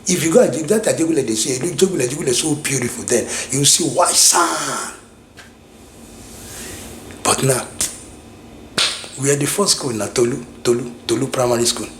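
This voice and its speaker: A man's voice: medium pitch, brisk, pauses often, echoey room, slight background noise. A middle-aged man from Nigeria with a Nigerian English accent, reminiscing in casual talk, rambling with many restarts and repeated phrases. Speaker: vlogger.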